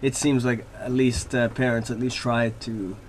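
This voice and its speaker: Man, easygoing radio guest, mid pitch, faint hiss behind. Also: normal speed, low-quality recording. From Laval, Quebec, Canada. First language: French. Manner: relaxed, rambling with many fillers. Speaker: hockey player guest. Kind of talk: radio interview.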